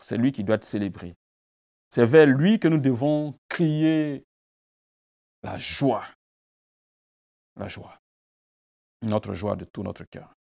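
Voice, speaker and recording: A male pastor with a low, gravelly voice preaches a devotional meditation. He builds his points through emphatic repetition and rhetorical questions. French is not his first language. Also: warm tone. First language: a language other than French. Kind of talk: devotional sermon and prayer.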